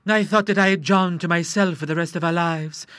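A woman's voice: fairly low pitch, fairly even intonation, brisk, a bit bright, dry recording, clean studio sound, close to an everyday voice. A woman voicing a tearful elderly sailor's wife, sobbing, in a storybook reading. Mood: grieving.

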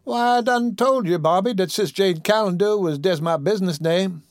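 A man voicing a bossy, motherly old Southern woman with a drawling accent, speaking in a high put-on voice. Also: no room sound, clean studio sound. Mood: scolding, motherly. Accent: American Southern. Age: older. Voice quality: high put-on voice, drawn-out dialect speech.

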